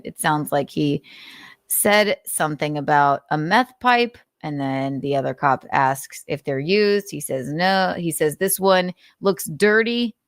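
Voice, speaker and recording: A woman's voice: low voice, no room sound, studio quality. A woman host reading notes aloud and adding blunt, sarcastic commentary, swearing freely. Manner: sarcastic, mocking.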